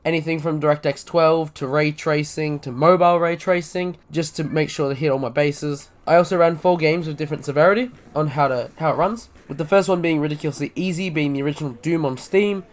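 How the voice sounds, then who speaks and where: A man's voice: on the high side, a little fast, few pauses. A young man with a slight cold, casually reviewing a laptop and rattling off specs. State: has a cold. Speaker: tech reviewer.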